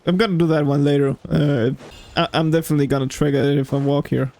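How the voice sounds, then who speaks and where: Guy streaming a game, highish voice, muttering to himself, game music behind. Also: steady tone, quick pace, vocal fry, a little mellow. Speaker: gamer, streamer. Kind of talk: casual gameplay commentary.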